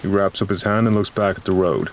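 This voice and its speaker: A man's voice: low-pitched, steady tone, quick pace, a little hiss or hum. A man reading scripted noir horror narration as a grave, dramatic storyteller. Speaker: narrator and voice actor. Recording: music and rain behind voice.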